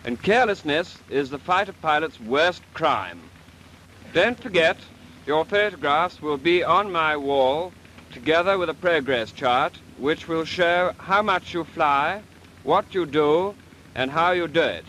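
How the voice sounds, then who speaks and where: A stern naval flying commander. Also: male voice, a little high, lively intonation, unhurried, fairly bright tone. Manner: crisp, authoritative officer's address. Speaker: commanding officer addressing trainee pilots. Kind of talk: 1940s British film acting. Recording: faintly noisy 1940s film soundtrack.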